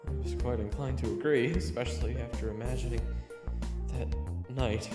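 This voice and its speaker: A man's voice: mid-range voice, normal speed, close to an everyday voice. A man playing an earnest, easily flustered young fantasy hero who also narrates in the first person, in an audio drama. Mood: uneasy narration. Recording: echoing hall reverb, music behind.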